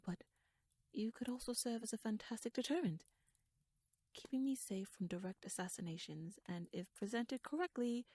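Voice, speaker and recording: A shrewd young noblewoman, played by a woman, speaks in a fairly high voice, close and dry on the mic. She rambles in formal, courtly phrases with wry asides, nervous yet playfully bold. Her soft delivery often drops toward a whisper, in the intimate style of ASMR audio drama. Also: breathy edge, dry recording. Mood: eager, persuasive. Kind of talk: ASMR audio roleplay, addressed to the listener. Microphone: close microphone, dry.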